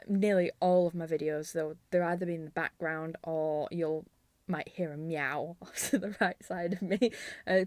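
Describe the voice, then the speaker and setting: A woman's voice: medium pitch, darkish tone, dry recording. A woman hosting her own channel, rambling casually to viewers in an informal, chatty way. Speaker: video channel host.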